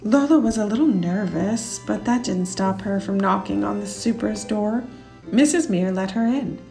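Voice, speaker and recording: A woman's voice: medium pitch, normal speed, creaky, mellow, poor audio. A woman reading scripted children's story narration aloud. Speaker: storybook reader. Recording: music behind the voice. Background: music.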